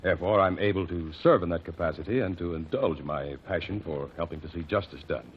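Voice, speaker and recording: An actor playing a calm, methodical man who cross-examines witnesses in court, speaking formally and precisely, in 1940s radio acting. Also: low voice, close to an everyday voice. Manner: measured, formal, precise diction. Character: calm, methodical male courtroom lawyer-detective. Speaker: amicus curiae lead. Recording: dull old radio broadcast, faint hiss.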